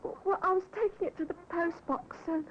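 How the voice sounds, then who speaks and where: An actress playing a timid, deferential housemaid, pleading and anxious, in a 1950s radio drama. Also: female voice, very high voice, normal speed. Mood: nervous, pleading. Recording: muffled, noisy 1950s radio recording.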